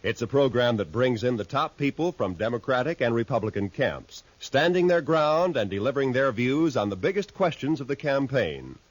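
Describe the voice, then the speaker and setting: A man delivering a formal 1950s radio studio announcement in a polished announcer's manner. His pitch rises and falls a great deal. The studio sound is dry. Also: sing-song, normal speed, hardly any pauses, dry recording.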